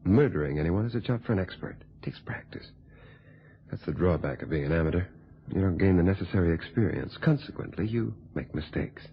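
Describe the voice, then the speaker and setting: An actor playing a cool, calculating blackmailing reporter in a radio thriller, with a husky voice and a smug, sardonic way of telling things. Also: male voice, low-pitched, lively intonation, exaggerated voice. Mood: sly, expository. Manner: smug, sardonic, unhurried storytelling. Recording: dull, lo-fi old radio recording.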